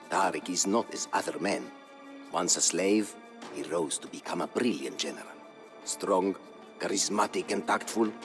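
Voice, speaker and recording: An actor playing a proud, solemn Berber chronicler, narrating a video game campaign in a grave, measured, formal manner. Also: male voice, sits low. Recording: studio voice-over with music behind.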